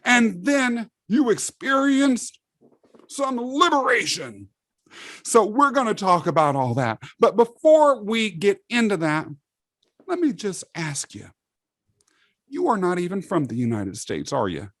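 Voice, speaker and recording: A man from England hosting a podcast, chatting with a guest in a lively, joking way and laughing easily. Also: sits high, unhurried, no echo. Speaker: podcast host. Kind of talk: casual interview chat.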